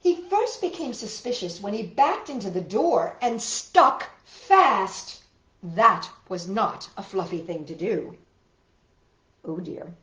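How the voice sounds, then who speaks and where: A woman with a very melodic, swooping voice presents stories to young children in an expressive, exaggerated style. She takes her time over each phrase. The recording has a noticeable room echo. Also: medium pitch, takes it slowly. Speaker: children's storytime host. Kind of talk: animated picture-book read-aloud and sing-along for young children. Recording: roomy echo with guitar music.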